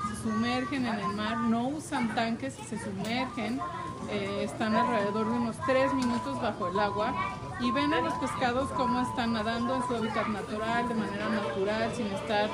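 A Mexican woman hosting at an outdoor event, chatting casually with many fillers and translating for viewers. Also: fairly high pitch. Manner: chatty, many fillers, switches to English to ask questions. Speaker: host.

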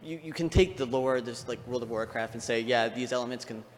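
A man's voice: medium pitch, brisk. A man speaking as an audience member at a live panel, explaining his view through examples. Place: large convention panel room, on audience microphone. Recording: echoey hall microphone with background noise.

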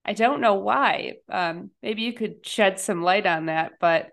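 A woman's voice: mid-range voice, measured pace, gravelly. A woman hosting a podcast, chatting casually and reflectively with her guest. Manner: warm backchanneling, laughs often, reflective. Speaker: podcast host.

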